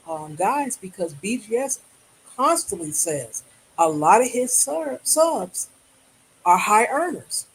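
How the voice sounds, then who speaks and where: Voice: medium pitch, breathy edge, low-quality recording. A livestream host in casual chat, speaking emphatically and firing off rhetorical questions. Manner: emphatic, rhetorical questions, mild hum of noise.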